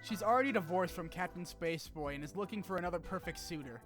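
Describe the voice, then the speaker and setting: A man's voice: sits high, quick pace, loud music behind the voice. A man narrating a scripted but casual game review, with informal wry asides and some swearing. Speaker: video essay narrator.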